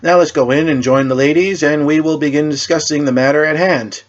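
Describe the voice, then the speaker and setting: A man voicing an assured, cryptic leader of a superhero team, speaking in a measured, formal way. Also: on the high side, fairly even intonation, lo-fi sound, pitched above the performer's own voice, close to an everyday voice.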